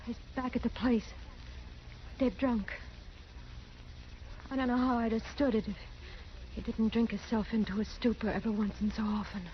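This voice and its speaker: An actress playing a frightened frontier wife in a radio western, pleading in an urgent, breathless way with rural phrasing. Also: female voice, a little high, flat delivery, normal speed, pauses often, lightly acted. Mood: desperate, pleading. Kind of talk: 1950s radio western acting. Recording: muffled, faintly hissy old radio recording.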